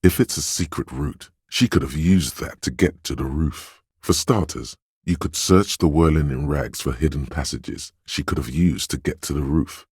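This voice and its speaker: A man voicing a suave, wry inner voice of a detective in a video game, narrating in a measured, knowing way. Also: very deep voice, a little mellow, breathy edge, no echo, clean studio sound, heavily acted. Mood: sly, urging. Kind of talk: second-person game narration.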